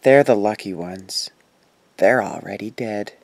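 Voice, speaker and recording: A man as a husky, mocking cultist doctor. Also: lowish voice, a little slow, dry recording. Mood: grim. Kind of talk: audio drama acting.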